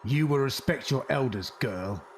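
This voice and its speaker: A man's voice: mid-range voice, animated, unhurried. Man acting callous Victorian factory boss, noisy background. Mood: scornful. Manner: curt, contemptuous. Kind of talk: fan audio drama acting. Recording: clear noisy ambience behind.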